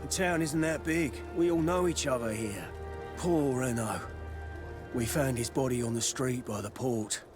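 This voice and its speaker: A man's voice: medium pitch, a little slow, natural voice. A man playing a wary, blunt armorer in a video game, a guarded salesman. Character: wary, blunt male armorer and weapons merchant. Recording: music behind the voice.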